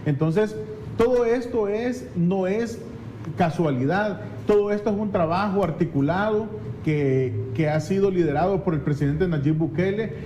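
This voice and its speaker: High-pitched mellow Salvadoran man. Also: a little slow, low-quality recording. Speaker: legislator, talk show guest. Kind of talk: political interview, explanatory talk. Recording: faint background noise.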